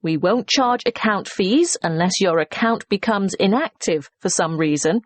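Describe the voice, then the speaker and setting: A woman playing a helpful investment society employee, reading a scripted customer information message in a clearly articulated, persuasive way. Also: medium pitch, expressive, a little slow, no echo. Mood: upbeat, reassuring. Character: helpful female investment society employee promoting savings services.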